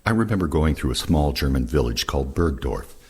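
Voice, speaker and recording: A man's voice: rumbling low, expressive, fairly quick, darkish tone, breathy edge. A man reading first-person oral history recollections aloud as scripted narration. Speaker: reader of veterans' recollections.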